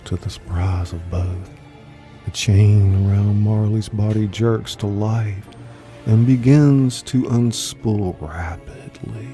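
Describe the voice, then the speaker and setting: A man narrating a scripted dramatic story in a deliberate manner, sometimes hushed. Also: fairly low pitch, faint music behind. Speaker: narrator of a live story reading.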